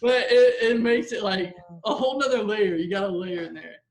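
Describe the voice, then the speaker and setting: High-voiced male judge on a call, upbeat and chatty, in a roomy space. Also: normal speed, a crowd in the background. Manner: upbeat, animated, lots of "like" and "you know". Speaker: judge of a music challenge. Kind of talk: casual video-call chat. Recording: echoey room over a video call.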